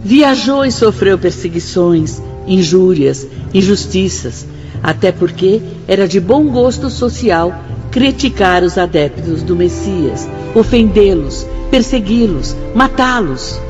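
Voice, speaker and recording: Devout Brazilian spirit sister, music behind. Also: female voice, mid-range voice, expressive. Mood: solemn, fervent. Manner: fervent, declamatory, measured. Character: devout, solemn spirit sister recounting martyrs' lives. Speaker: radio drama actor. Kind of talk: solemn radio drama narration. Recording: dull radio drama mix under loud music.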